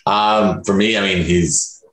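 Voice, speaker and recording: Very deep-voiced man, halting and echoey. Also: steady tone, fairly bright tone. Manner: halting, full of fillers and restarts. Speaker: focus group participant. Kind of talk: casual opinion sharing.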